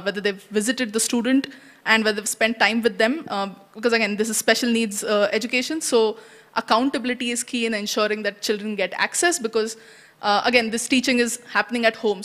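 A woman. Her pitch is average, and she explains things conversationally into a handheld mic. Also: a bit bright. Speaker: panelist. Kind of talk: conversational panel answer. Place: indoor conference stage. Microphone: handheld microphone.

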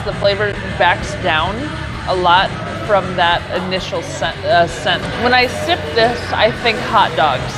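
A woman's voice: mid-range voice, rough recording. An American woman in her thirties chatting casually and playfully as a vlog host. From United States. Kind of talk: casual vlog chat, beer tasting. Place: indoor brewery taproom. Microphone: clip-on lapel mic. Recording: busy taproom with loud music and crowd. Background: music, bar crowd chatter.

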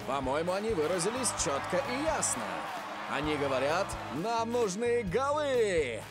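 A man's voice: sits high, measured pace, over loud music. An actor playing an enthusiastic, easily astonished male football commentator in a cartoon, speaking emphatically. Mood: excited. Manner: emphatic, animated.

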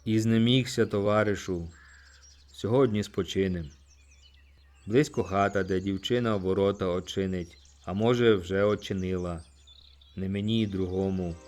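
A man narrating an audiobook, reciting poetry in an expressive, theatrical way. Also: deep voice, moderate pace. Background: music.

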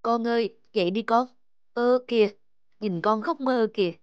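A woman playing a strict but caring young mother in an animated fable, scolding yet affectionate. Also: fairly high pitch, moderate pace, clear, resonant tone, no room sound, highs cut off, lightly acted. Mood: gentle. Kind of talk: animated moral fable voice acting. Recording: music behind the voice.

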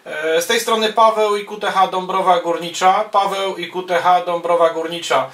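High-pitched man, roomy and distant. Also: fairly even intonation, normal speed, a bit bright. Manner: procedural, spells callsigns in phonetic words, hesitant between phrases. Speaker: amateur radio operator and YouTuber. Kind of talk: ham radio contact calls and casual commentary. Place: small wood-panelled radio room indoors. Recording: roomy, distant microphone sound.

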